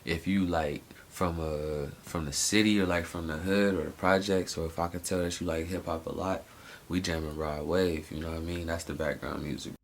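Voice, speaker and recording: Late-20s guy vlogging, super low gravelly voice, rambling slangy talk w/ lots of restarts, faint hiss behind. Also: steady tone, moderate pace. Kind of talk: casual personal monologue.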